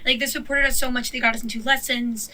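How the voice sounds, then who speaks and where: A woman's voice: a little high, steady tone, fast talker, sharp tone. A woman in her twenties, a drummer speaking in a documentary interview in a chatty way, full of "like". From Mexico. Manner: chatty, many "like" fillers. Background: background music.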